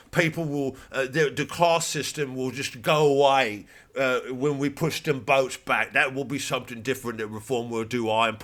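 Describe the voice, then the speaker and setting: A man voicing a simple-minded supporter of a party who talks in a halting, emphatic way. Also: mid-range voice, a bit bright, lightly acted.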